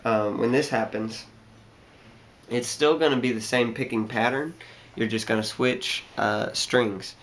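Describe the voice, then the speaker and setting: A man's voice: medium pitch, steady tone, a little slow, a bit bright. A young man with a slightly scratchy voice from a cold, hesitantly explaining a guitar part with many ums and restarts. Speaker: guitar teacher. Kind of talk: casual guitar tutorial. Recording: guitar playing throughout.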